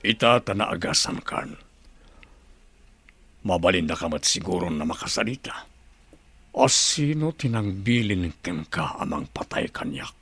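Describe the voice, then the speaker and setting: Low, husky, stern governor. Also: male voice, expressive, measured pace, long pauses, fairly bright tone, no room sound, heavily acted. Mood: grave. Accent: Ilocano. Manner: grave, authoritative. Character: stern male governor who survived an assassination attempt. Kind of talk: radio drama acting.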